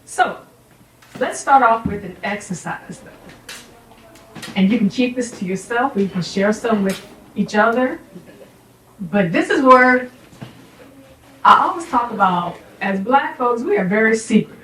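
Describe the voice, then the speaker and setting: A woman's voice: fairly high pitch, animated, unhurried, long pauses, fairly bright tone. Middle-aged American woman speaking in echoey room. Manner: emphatic, instructive, checks in with listeners. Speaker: speaker, sociology professor giving a presentation. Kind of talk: informal public talk to a community audience. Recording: roomy, distant microphone with faint background noise.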